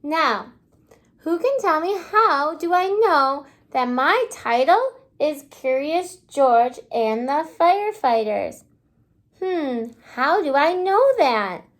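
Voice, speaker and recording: Woman, kindergarten teacher. Slow, animated read-aloud delivery, high voice, prompting young listeners. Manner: expressive, prompting listeners with questions and pauses. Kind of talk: children's read-aloud lesson with questions.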